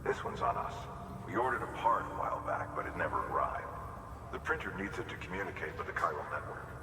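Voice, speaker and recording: Very deep, echoing technician. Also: male voice, expressive, fast. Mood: apologetic, coaxing. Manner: chatty, persuasive. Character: friendly, apologetic male base technician asking a favour. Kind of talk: video game radio briefing. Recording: distant, echoing hall with background noise.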